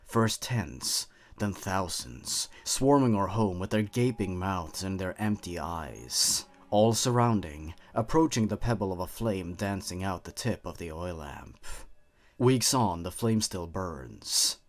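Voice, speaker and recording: A man narrating horror stories from a script, in a measured delivery that sinks low and hushed at the eerie moments. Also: animated. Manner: measured, hushed storytelling that drops low and quiet.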